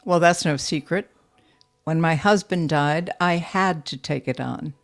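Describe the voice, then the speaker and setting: Casting: young female mill boss for audio play, composed and matter-of-fact, slow measured delivery, lowish register. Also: faint music behind. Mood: reserved, matter-of-fact. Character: composed young widow running a steel mill, guarded. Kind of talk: audio drama acting.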